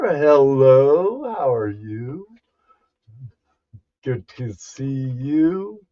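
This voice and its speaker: A man hosting a casual livestream, greeting viewers one by one in a folksy, halting way. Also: a little high. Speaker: livestream host. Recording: dull, lo-fi livestream audio.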